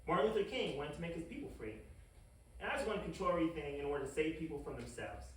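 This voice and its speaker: An actor playing a bumbling, grandiose mad scientist villain in an animated comedy table read, stammering and indignant. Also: medium pitch, very fast, natural voice. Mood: self-important. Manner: flustered, stammering, self-important. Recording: echoey room, distant microphone.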